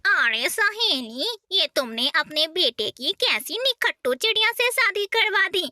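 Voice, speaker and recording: A meddling crow gossip sneers in a cartoon, as a woman plays her. The voice is high and bright with a lively, slow lilt, heard dry and close. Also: no room sound, exaggerated voice. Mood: mocking. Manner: mocking, meddling. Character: spiteful gossiping female crow, friend.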